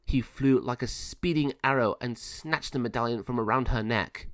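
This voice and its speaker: A man's voice: medium pitch, moderate pace, no room sound, studio quality. A man reading a children's adventure story aloud as a scripted narration.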